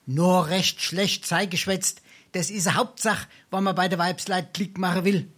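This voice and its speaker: An actor playing a brazen, silver-tongued sponger and drinker in a Darmstadt dialect stage comedy, speaking South Hessian with mock-pompous flourishes. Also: male voice, sits high, fairly even intonation, unhurried, fairly bright tone. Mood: wheedling, boastful. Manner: florid mock-pompous speech, theatrical sighs.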